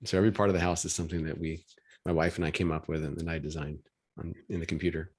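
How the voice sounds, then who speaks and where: A man's voice: deep voice, level tone, fast talker, slightly rough. A middle-aged man from Washington State telling a story in a matter-of-fact, chatty way on a video call. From Washington State, USA.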